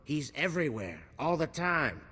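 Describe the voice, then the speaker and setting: Sharp, bright wisecracking arms dealer. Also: male voice, fairly high pitch, very animated, moderate pace, husky, long reverb tail, heavily acted. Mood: sardonic. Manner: slick, sardonic salesman patter. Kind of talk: video game cutscene acting.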